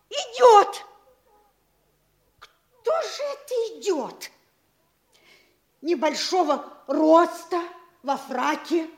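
A woman's voice: very high-pitched, full character voice. An actress playing a fussy, impatient, bossy middle-aged provincial mother in a theatrical classic stage comedy, exclaiming and scolding.

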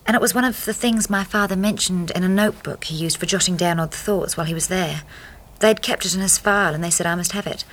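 An actress playing an earnest, troubled young wife in a radio drama, well-spoken and politely insistent. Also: female voice, fairly low pitch, quick pace, a bit bright, slight background noise, close to an everyday voice, low-quality recording. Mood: subdued.

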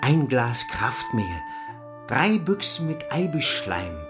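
Pompous, singsong village pharmacist. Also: male voice, mid-range voice, big pitch swings, slow, over music. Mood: proud, pompous. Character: officious, ingratiating male village pharmacist. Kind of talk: literary radio play acting.

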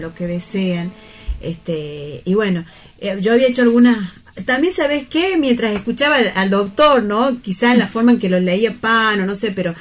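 Argentine woman hosting a reflective discussion. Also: mid-range voice. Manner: reflective, seeks agreement with tag questions. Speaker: host of a round-table radio program. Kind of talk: casual round-table discussion.

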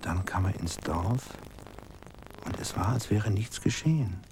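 Grave village storyteller, radio play, really low crackly voice, hushed n halting, keeps dropping to a whisper. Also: male voice. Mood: grave, haunted. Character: grave village man recounting a haunting past event. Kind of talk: radio drama narration.

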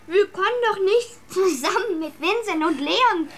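Little schoolgirl in a radio drama, high voice, stubborn, matter-of-fact, childlike. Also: animated, normal speed, a little hiss or hum. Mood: objecting. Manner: childlike, plain-spoken, insistent. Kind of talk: German radio play acting.

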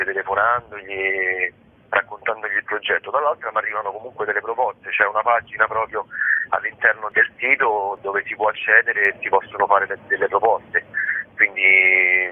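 Low-voiced man on a phone line. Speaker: guest, curator of a digital theatre book series. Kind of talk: informal interview. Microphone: telephone. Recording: phone-line sound on radio.

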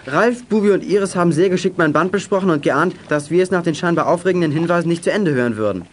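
Casting: precocious boy played by a male, rapid mock-formal speech, slightly high, children's audio adventure. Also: a little hiss or hum, natural voice. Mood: wry, pompous. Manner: stilted, pompous phrasing. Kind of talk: 1980s German children's radio play acting.

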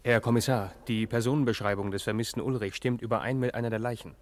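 A fast-talking, dutiful policeman played by an actor in an old radio drama. His voice is fairly low and he reports briskly, like a subordinate. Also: steady tone, slight background noise, close to an everyday voice. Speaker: police officer. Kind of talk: 1960s German radio play acting.

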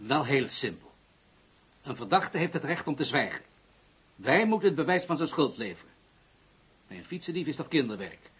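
A formal police commissioner in a radio play. His voice sits a little high for a man and is heavily husky. He argues firmly and with reason, defending his methods. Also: very animated, normal speed, pauses often, a bit bright, heavily acted. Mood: insistent. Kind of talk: Dutch radio play acting.

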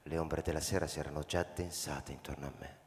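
An actor playing a thoughtful, uneasy school principal, narrating in a measured radio-drama manner with pauses. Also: male voice, a bass voice, steady tone. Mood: brooding, uneasy. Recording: reverberant hall, music bed underneath. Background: music.

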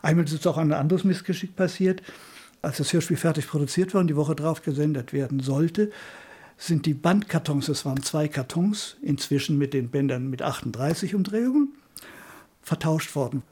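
Older man, husky mellow storyteller. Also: a little high, moderate pace. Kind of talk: interview reminiscence, spontaneous storytelling.

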